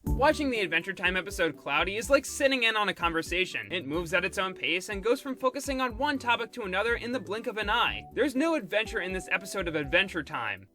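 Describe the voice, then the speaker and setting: A man with a high, very bright and forceful voice reads a scripted review. He drops in snarky asides as he goes. Music plays behind his narration. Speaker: video essayist and reviewer. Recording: background music under the voice.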